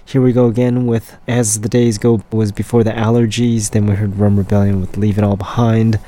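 A man working as a radio DJ, casually back-announcing songs with a raspy throat and an offhand, list-reading delivery. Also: on the low side, level tone, brisk, warm tone.

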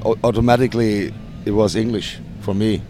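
A man with a German accent, a punk band singer, answering interview questions hesitantly with frequent restarts and soft laughs. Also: lowish voice, steady tone, a little fast, noisy background.

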